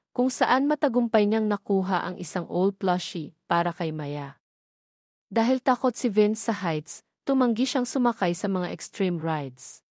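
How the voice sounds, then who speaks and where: Female narrator for scripted romance audio stories, medium pitch, close dry recording. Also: a little mellow, no room sound.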